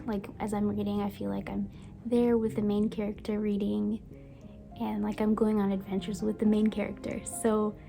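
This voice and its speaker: A young woman from Brazil whose first language is Portuguese, chatting gently in a casual book review vlog and sometimes dropping to a soft whisper. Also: mid-range voice, creaky. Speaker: book vlogger. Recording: music playing behind the voice.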